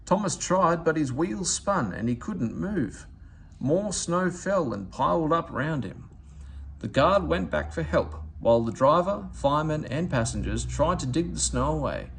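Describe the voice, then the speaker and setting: A man narrating a children's storybook aloud in an expressive, scripted storytelling style. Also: a little high, slight background noise. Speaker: storybook reader.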